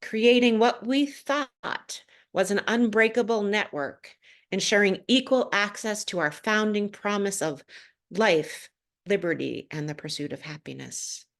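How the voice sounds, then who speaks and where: A woman minister delivering a prepared sermon to a congregation, phrasing deliberately and pausing for emphasis. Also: medium pitch.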